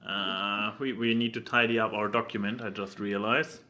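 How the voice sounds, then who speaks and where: A man's voice: on the low side, fairly even intonation, moderate pace, pushed and bright. A man co-hosting a casual podcast, meandering and repeating himself as he hunts for words. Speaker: podcast co-host.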